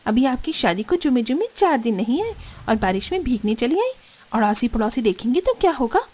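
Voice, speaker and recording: A woman's voice: a little high, very animated, a little fast, mellow. A woman voicing an arrogant, bossy young married sister-in-law in a cartoon family drama, scolding and whiny. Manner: scolding, self-centred, whiny.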